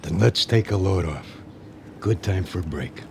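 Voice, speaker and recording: A man's voice: deep voice, moderate pace, vocal fry, noisy background. An older American man acting in a radio drama as a nostalgic, rambling old baseball fan with a folksy manner. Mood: folksy, reminiscing.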